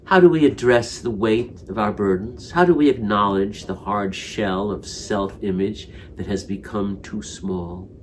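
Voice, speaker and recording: Man, lowish voice, measured reflective reader for spiritual commentary. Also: a little hiss or hum. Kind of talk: reading a spiritual text aloud.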